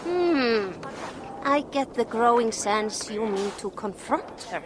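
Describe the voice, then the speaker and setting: A vengeful informant in a video game cutscene. Her voice is fairly high, her delivery dry and deliberate, with faint ambience behind. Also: expressive, background noise. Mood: wry, cautioning. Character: vengeful woman informant, dryly savage yet cautious.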